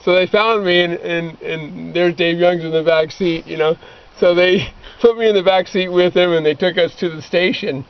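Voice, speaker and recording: A man's voice: sits high, fairly even intonation, moderate pace, few pauses, clear, resonant tone. A man, middle-aged, casually reminiscing among friends in a rambling, anecdotal way. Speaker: former missionary bookseller. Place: outdoors, back of a pickup by a creek. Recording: muffled lo-fi outdoor amateur recording, background noise.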